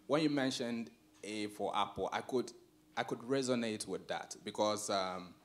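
A man's voice: on the low side, normal speed. A man in his forties from Ghana, asking a question from the audience in a lecture theatre, polite and hesitant with many filler sounds.

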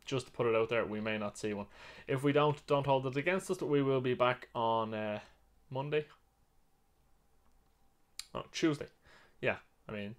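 An Irish man in his thirties giving a rambling, relaxed vlog update from a small home office at his desk camera. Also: medium pitch. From Ireland. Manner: relaxed, rambling, self-correcting. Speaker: vlog co-host. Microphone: webcam at desk.

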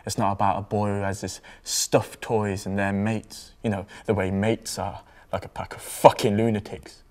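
A man's voice: fairly low pitch, little pitch movement, normal speed, darkish tone, natural voice. A man acting a disillusioned, foul-mouthed lad in a rambling, matter-of-fact dramatic monologue. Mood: scornful, rambling. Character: sweary, disillusioned young lad brooding on toys.

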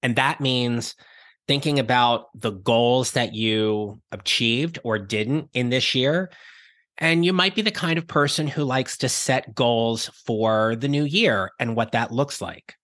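Man, podcast host, raspy from illness, encouraging, mid-range pitch, dry close sound. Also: slow, a bit bright, no echo. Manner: upbeat, encouraging, conversational. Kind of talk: solo career-advice podcast.

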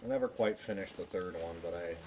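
A man's voice: sits low, quick pace. A man chatting casually and jokingly to viewers as he livestreams a game, swearing now and then. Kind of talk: casual game stream commentary. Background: game music.

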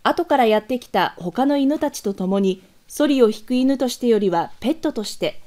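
Female archive-news narrator, higher-pitched with a mellow, soft-edged tone; formal, measured scripted read. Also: expressive.

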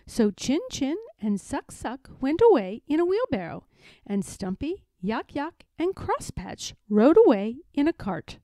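High, animated woman reading a storybook. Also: measured pace, no room sound, clean studio sound. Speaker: story reader. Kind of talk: expressive children's storybook narration.

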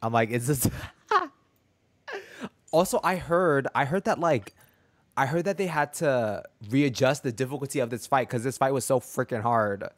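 A man's voice: mid-range voice, normal speed, dry recording. A young man chatting casually on a gameplay livestream in a slangy, rambling, playful way. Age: young adult. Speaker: video game streamer. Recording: faint game music behind.

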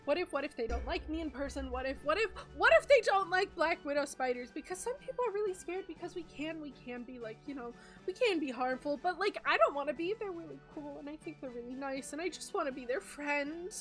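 Woman putting on a high, bright cartoon spider, shy and jittery, frets in circles. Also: lively intonation, fairly quick, hardly any pauses, soft background music. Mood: anxious. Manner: anxious, rambling, repeating herself.

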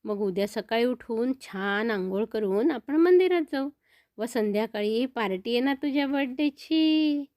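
A woman voicing a caring mother who gently instructs her young son in a children's story. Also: on the high side, expressive, unhurried, no echo, clean studio sound. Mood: gentle, caring. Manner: affectionate, gently directing.

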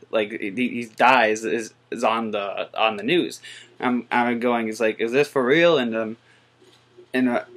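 Soft-spoken young man rambling on webcam. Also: medium pitch. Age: 20s. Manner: soft-spoken, rambling with many fillers and restarts. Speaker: vlogger. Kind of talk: casual webcam monologue. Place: small bedroom indoors. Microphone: built-in webcam mic.

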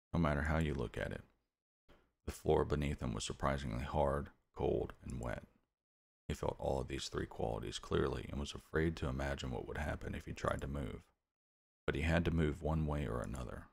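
A young man with a gravelly voice, a streamer reading visual novel narration aloud with casual asides. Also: very deep voice. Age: young adult. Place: small room at home, indoors. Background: game music.

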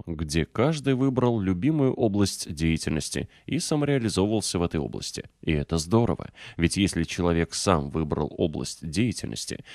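Deep-voiced male audiobook narrator. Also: darkish tone, dry recording, clean studio sound.

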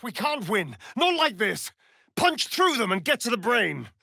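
An actor playing a sly, vain vampire rogue in a video game, with a theatrical, arch, drawling delivery. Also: male voice, very high-pitched, big pitch swings, a little slow, creaky, pushed and bright, no echo, clean studio sound, exaggerated voice. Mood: arch, scheming.

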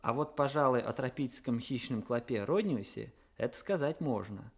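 A man giving a prepared educational talk for children as a guest expert, in a measured, explanatory manner. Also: mid-range voice, expressive, gravelly, slightly rough.

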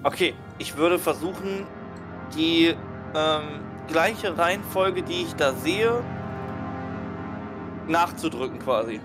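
A man's voice: on the high side, slow pace, pauses often. A man chatting casually as a player in a tabletop role-playing game. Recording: music behind the voice.

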